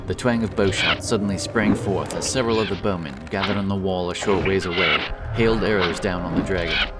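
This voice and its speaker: A man's voice: low-pitched, a little fast, recorded from a distance. A man giving a dramatic scripted narration of a fantasy story. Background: loud epic background music.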